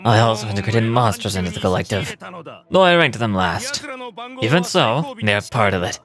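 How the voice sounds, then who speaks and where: A man's voice: fairly low pitch, big pitch swings, normal speed. A man acting out an arrogant, cold video game villain who rules a hive mind, speaking in a pompous, formal, declamatory way. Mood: cold, commanding. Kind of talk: live amateur voice acting of game dialogue. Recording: loud game music behind. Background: game music.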